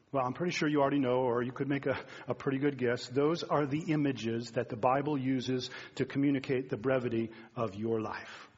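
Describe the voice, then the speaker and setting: A man's voice: mid-range voice, breathy edge. A man in his fifties preaching a sermon, talking in an earnest, conversational way and turning now and then to speak straight to the congregation. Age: 50s. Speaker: pastor. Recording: dull, muffled sound with long hall reverb.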